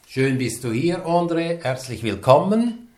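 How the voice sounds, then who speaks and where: A man's voice: fairly high pitch, big pitch swings, unhurried, darkish tone, reverberant. A man with a Swiss accent, hosting a podcast and asking his guest questions in a friendly way. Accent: Swiss German. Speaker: podcast host. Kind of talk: podcast interview.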